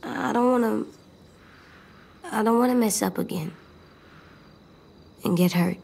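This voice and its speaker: AJ, a solemn, creaky-voiced little boy. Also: fairly high pitch, measured pace, slight background noise. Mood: guarded, resolute. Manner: quiet, earnest, guarded. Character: solemn, stubborn, anxious little boy survivor. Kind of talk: video game dramatic acting.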